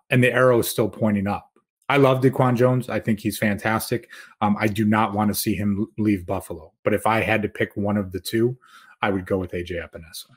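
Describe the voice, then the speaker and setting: A man giving casual sports analysis as a podcast guest, explaining his points conversationally. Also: on the low side.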